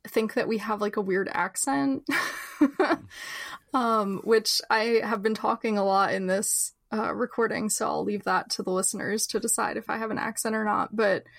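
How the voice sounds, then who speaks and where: Creaky-voiced New woman. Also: mid-range voice, steady tone, normal speed, no room sound, studio quality. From New Jersey. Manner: chatty, many fillers and laughs. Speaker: book editor, podcast guest. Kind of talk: casual interview talk.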